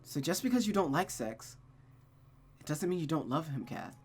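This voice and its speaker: A woman voicing a gentle female friend in a visual novel, speaking tenderly and frankly in a measured way. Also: low-pitched, big pitch swings, slightly rough, soft background music, heavily acted.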